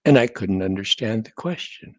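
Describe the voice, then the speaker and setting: Older man in his 70s, guest, measured storyteller with dry humor, dry close sound. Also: lowish voice, expressive, no echo. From North Dakota. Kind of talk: casual conversation, storytelling.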